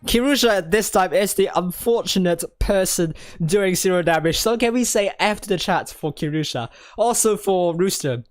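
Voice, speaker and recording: Hoarse excitable esports caster. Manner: excitable, rising to high exclamations during fights; rapid name-listing with frequent fillers and restarts. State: hoarse, losing voice. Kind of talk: live play-by-play commentary.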